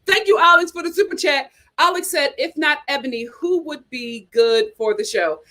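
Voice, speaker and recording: Animated woman chatting about reality TV. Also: high voice, normal speed. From New York. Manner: animated and emphatic, lots of "you know". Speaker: host of a reality TV chat channel. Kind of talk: casual reaction chat reading viewer comments.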